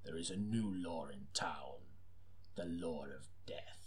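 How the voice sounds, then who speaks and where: Dramatic hard-boiled detective narrator. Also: male voice, low voice, takes it slowly, loud music behind the voice. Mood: low, sinister. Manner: earnest, dramatic storytelling. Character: deadpan melodramatic hard-boiled detective narrator, secretly a sinister killer. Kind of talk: scripted audiobook narration of a detective story.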